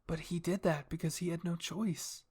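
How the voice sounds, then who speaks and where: A man's voice: on the high side, fairly even intonation, normal speed, a little mellow, breathy edge, voice pushed up. A man voicing an earnest young royal woman, a devoted daughter, in an anime roleplay drama with a pleading manner.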